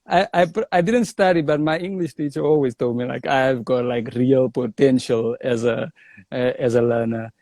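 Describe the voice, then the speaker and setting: Young South African man, mellow and animated. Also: medium pitch, normal speed, dry recording. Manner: animated storyteller, says "bruh". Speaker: comedian guest. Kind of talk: casual interview chat.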